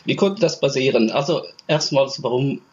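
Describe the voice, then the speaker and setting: A man with a Norwegian accent, a podcast guest talking slowly and searching for words. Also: mid-range voice, darkish tone, breathy edge. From Norway. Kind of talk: casual conversation. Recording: dull remote call line.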